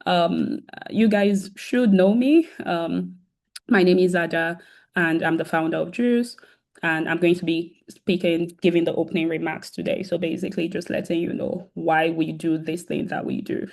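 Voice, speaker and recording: Woman with a sore throat on a video call, kinda low and gravelly, lots of ums, talking to students. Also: moderate pace, a little mellow. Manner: many "um" fillers, restarts, addresses students directly. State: sore throat, occasional coughing. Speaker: founder and host of a virtual career event. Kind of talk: casual welcoming talk on a video call.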